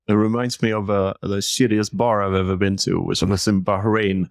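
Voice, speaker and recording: Male podcast host, casual and jokey, low-ish pitch. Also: flat delivery, moderate pace, vocal fry, no echo, studio quality. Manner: jokey, anecdotal. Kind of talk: casual interview chat.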